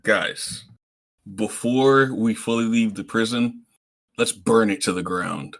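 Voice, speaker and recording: A man playing a tabletop game, chatting casually in a coaxing manner with halting repetitions. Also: fairly low pitch, fairly bright tone.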